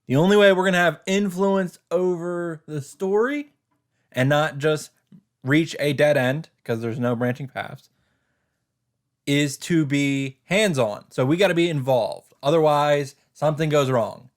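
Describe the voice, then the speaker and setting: A man's voice: on the high side, a little slow. A man let's player reading visual novel narration dramatically, with casual commentary of his own. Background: game music.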